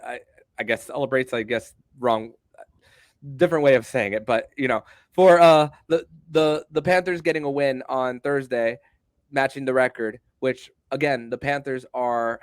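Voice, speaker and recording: A male podcast host talks sports in a mid-range voice with a very dry, close sound. His questions come out conversationally, halting and wandering into asides before they land. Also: mid-range voice, smooth, dry recording.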